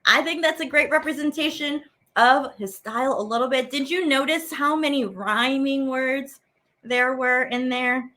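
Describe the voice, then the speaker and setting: A woman hosting a children's arts lesson as a teaching artist, expressive, stretching words for emphasis as she explains and reads aloud. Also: sits high, moderate pace. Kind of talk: animated children's lesson and poem reading.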